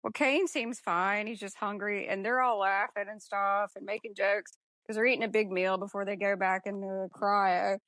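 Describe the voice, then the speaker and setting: A woman's voice: mid-range voice, fairly even intonation, normal speed, fairly bright tone, no echo. A woman co-hosting a podcast, chatting casually and telling a story in an informal, mildly sweary way. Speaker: podcast co-host. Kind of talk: casual film discussion chat.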